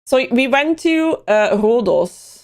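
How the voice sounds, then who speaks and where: A woman's voice: fairly high pitch, expressive, takes it slowly, smooth. A young Belgian woman, chatting casually on a livestream, rambling and answering chat messages as they come in. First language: Dutch. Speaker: livestream host.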